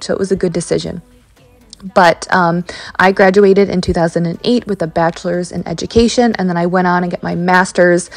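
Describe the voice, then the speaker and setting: Chatty woman in her thirties, lower voice. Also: darkish tone, no echo. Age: 30s. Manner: chatty storytelling with many ums and likes. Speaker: cleaning vlogger. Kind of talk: casual chat over cleaning footage. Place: carpeted home basement and small tiled bathroom.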